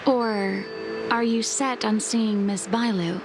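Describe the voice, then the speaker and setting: A woman's voice: fairly high pitch, fairly even intonation, slow, noisy background. An actress playing a polite, formal young woman in a game. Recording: game audio with loud music.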